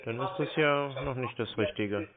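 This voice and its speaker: A man posing as a call target on a long phone call, talking slowly and hesitantly, stalling with hums, fillers and small complaints. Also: medium pitch, music in the background. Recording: tinny phone line.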